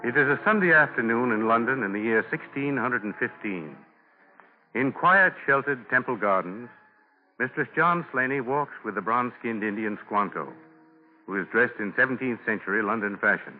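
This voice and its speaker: A man's voice: mid-range voice, expressive, moderate pace. A man reading scripted narration for a 1940s radio drama in a formal announcer style. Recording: tinny 1940s radio broadcast over music.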